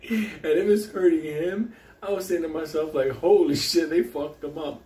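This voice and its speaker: A man's voice: a little high, fairly even intonation, normal speed, dark tone, roomy sound. Rowdy, foul-mouthed Puerto Rican man. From Puerto Rico. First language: Spanish. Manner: rowdy, laughs often, swears constantly. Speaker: show host. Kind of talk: casual profane storytelling monologue.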